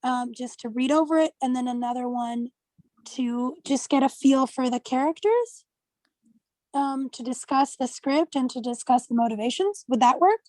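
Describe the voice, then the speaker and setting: A woman's voice: high-pitched, normal speed, mellow, dry recording, rough recording. A woman leading a casual group discussion, thinking aloud in a tentative way. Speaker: study group discussion leader.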